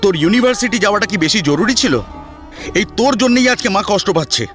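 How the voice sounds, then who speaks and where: A man's voice: high-pitched, very animated, a little fast, fairly bright tone, soft background music, pitched above the performer's own voice. A man playing an angry, bullying elder brother in an audio family drama, scolding and accusing in curt bursts. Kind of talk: Bengali audio story drama.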